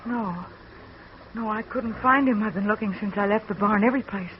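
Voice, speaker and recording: A woman's voice: medium pitch, normal speed, lightly acted. A woman playing a frightened young woman. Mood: anxious. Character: frightened young woman caught in a murder plot. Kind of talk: 1940s radio acting. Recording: dull old radio broadcast with faint hiss.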